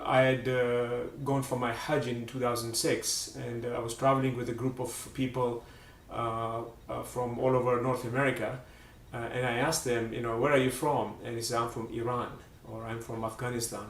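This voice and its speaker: A man hosting a live religious program, speaking haltingly with many fillers. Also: mid-range voice, a little hiss or hum. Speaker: program host. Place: Seattle area. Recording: roomy, distant mic.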